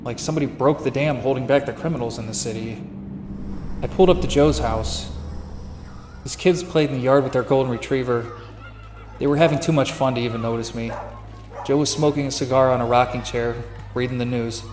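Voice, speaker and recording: A man's voice: medium pitch, brisk, darkish tone, echoey room, close to an everyday voice. A man playing an earnest, weary young amateur detective in a scripted noir audio drama, subdued and reflective. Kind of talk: scripted first-person noir narration and dialogue.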